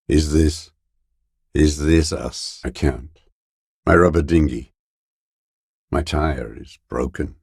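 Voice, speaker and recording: An actor playing a bitter, weary old communist deserter in a video game, gravelly and raspy, cursing with contempt in a slow mutter. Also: male voice, very deep voice, a little mellow, dry recording, studio quality, heavily acted. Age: older. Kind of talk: dramatic video game character acting.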